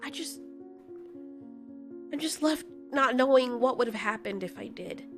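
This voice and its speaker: An emotional female giant moth monster, acted out by a woman in an audio drama. The voice is high and swings widely in pitch. She speaks haltingly and earnestly, as a caring creature who wears her worries openly. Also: lively intonation, faint music behind. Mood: guilty grief. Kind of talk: amateur audio drama dialogue.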